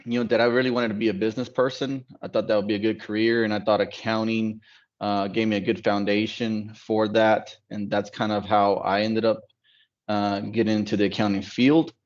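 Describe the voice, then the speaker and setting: A man who grew up in Dallas, a partner at an accounting firm, talking in a relaxed, reflective way with many fillers on a video call. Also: fairly low pitch, fairly quick. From Dallas, United States. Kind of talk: casual panel talk on a video call. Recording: dull lo-fi call audio.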